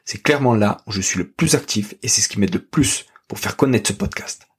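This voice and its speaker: A man's voice: lowish voice, fairly quick. A man hosting a sports podcast, reading a scripted welcome, then asking short interview questions. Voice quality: very husky, breathy. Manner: reads intro smoothly, asks short questions. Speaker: podcast host.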